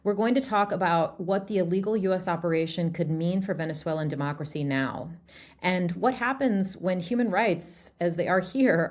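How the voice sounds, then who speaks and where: Low-voiced woman reading a podcast intro. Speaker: podcast host. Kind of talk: scripted narration.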